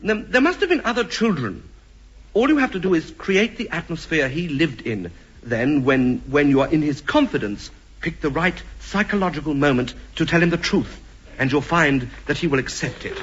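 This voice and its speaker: Pompous doctor, lively old radio comedy. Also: male voice, on the high side, husky. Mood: earnest, advisory. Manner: deliberate, formal, clinical phrasing. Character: earnest, pompous male doctor with a childish streak. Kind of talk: 1950s radio comedy acting. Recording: noisy, dull old radio recording with clicks.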